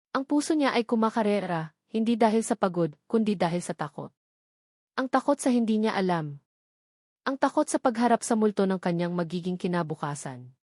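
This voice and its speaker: A woman narrating a scripted romance story in a measured, melodramatic way. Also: medium pitch, darkish tone, no room sound, clean studio sound.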